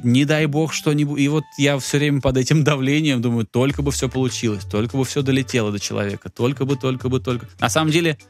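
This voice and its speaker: Male podcast co-host, mid-pitched, loose rambling anecdotes with fillers. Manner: rambling storytelling with many fillers. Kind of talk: casual chat. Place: Tbilisi.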